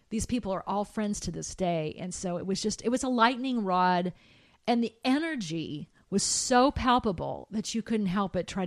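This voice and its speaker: A middle-aged woman, a trained soprano, recalling her past in an animated way, with fillers and restarts. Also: no echo, clean studio sound. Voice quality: high soprano singer. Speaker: guest. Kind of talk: conversational interview.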